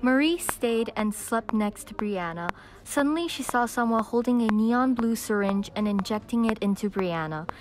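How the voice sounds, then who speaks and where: Teen female narrator, high-voiced, reading a student audio drama over audible noise. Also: lively intonation, moderate pace. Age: young teen. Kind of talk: scripted story narration. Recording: clicky amateur recording with background noise.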